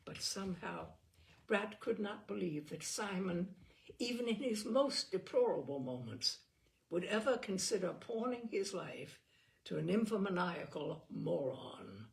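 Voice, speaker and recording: Creaky-voiced woman narrating a novel. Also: on the low side, animated, a little slow. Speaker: audiobook narrator. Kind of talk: literary fiction reading, expressive.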